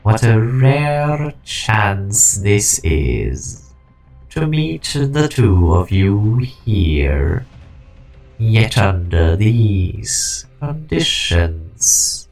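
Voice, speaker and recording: A man acts out an ancient synthetic guardian of a dying civilization. He speaks very slowly and gravely, with a creaky, lowish voice and weary grandeur. Music plays under this game fan-dub performance. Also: big pitch swings, darkish tone, exaggerated voice. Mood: grave, musing. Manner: grandiose, slow, ponderous. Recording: music behind the voice.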